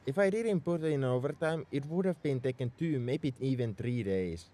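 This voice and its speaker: A man's voice: mid-range voice, lively intonation, moderate pace, mellow, natural voice. A man playing a tsundere mech engineer in a roleplay audio drama, scolding and sarcastic with worry underneath. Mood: gruff, defensive. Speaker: voice actor.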